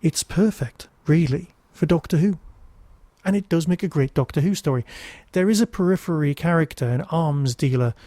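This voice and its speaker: A man's voice: fairly high pitch, moderate pace, warm tone, no room sound. A man hosting a podcast, giving casual, digressive review commentary with wry asides.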